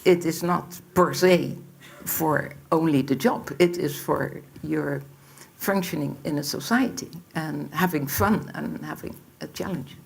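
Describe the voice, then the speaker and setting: A woman with a low, gravelly voice breaks into a panel discussion with short comments. A faint hiss lies under the recording. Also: a little slow. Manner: short, interjecting remarks.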